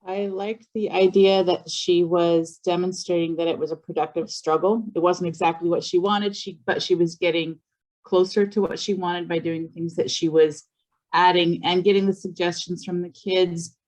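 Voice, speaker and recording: Lower-voiced reflective woman. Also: steady tone, moderate pace, darkish tone, rough recording. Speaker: teacher educator. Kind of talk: workshop discussion comment.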